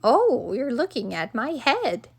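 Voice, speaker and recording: Woman voicing a pompous little quail, swooping and creaky. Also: fairly high pitch, huge pitch swings, takes it slowly, a little mellow, full character voice. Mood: proud. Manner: pompous, showy introductions and explanations. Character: talking male quail, proud, cheeky and self-important.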